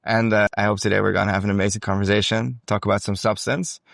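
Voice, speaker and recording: A male interview host with an upbeat, encouraging manner who keeps things moving with short agreements. His voice is fairly low. The recording is dry and close. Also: little pitch movement, fast talker, creaky, a bit bright, dry recording. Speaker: podcast host. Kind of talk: casual interview. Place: salsa congress venue.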